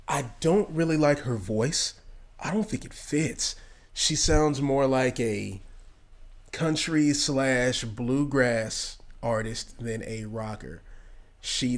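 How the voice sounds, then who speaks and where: A man giving casual game commentary, informal and thinking aloud as he goes. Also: medium pitch. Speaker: gaming video creator.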